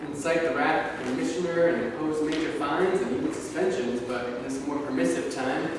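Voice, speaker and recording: A man's voice: on the high side, fairly quick, natural voice. A man playing a folksy, easily impressed TV sports co-host in a staged reading of a scripted broadcast. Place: live staged reading in a reverberant room. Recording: echoey hall, distant mic, clear background noise.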